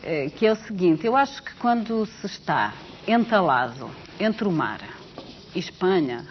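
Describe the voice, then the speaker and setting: A woman's voice: lowish voice, measured pace. A woman from Portugal with a European Portuguese accent, talking animatedly as an interview guest, with frequent restarts. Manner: animated, storytelling with many restarts. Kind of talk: casual interview chat. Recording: dull lo-fi TV studio audio with faint hiss.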